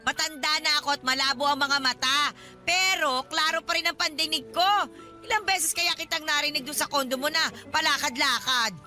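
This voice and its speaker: A woman's voice: sits high, fairly even intonation, moderate pace, pushed and bright, faint music behind. An actress playing a pushy, needy elderly godmother in a Filipino radio drama, wheedling and insistent as she talks. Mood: accusing, wheedling. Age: older.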